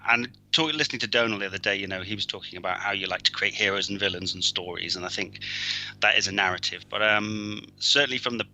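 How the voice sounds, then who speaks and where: A man's voice: fairly low pitch, quick pace, sharp tone, a little hiss or hum, rough recording. A man from Wales talking in a casual chat as a guest, hesitant, with many fillers and restarts. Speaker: guest player.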